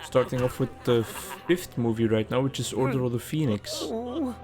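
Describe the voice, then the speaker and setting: A man's voice: medium pitch, sing-song, moderate pace, over music. A young adult man, a native Dutch speaker, chatting casually and rambling over his gameplay for a video. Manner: chatty, rambling, self-aware. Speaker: gaming video creator.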